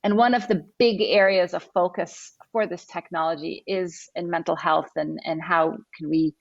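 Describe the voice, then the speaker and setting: A woman's voice: on the low side, fairly even intonation, moderate pace, vocal fry, no echo, highs cut off. A woman from Egypt speaking on a panel as a tech CEO, earnest and thoughtful, often restarting her sentences. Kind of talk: panel discussion answers.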